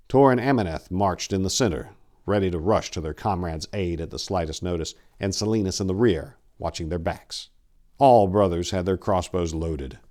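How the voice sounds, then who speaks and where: A man with a very low voice narrates grim fantasy fiction. He reads in a solemn, theatrical way, giving the prose grave weight. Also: a little mellow. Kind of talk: dramatic reading of dark fantasy fiction.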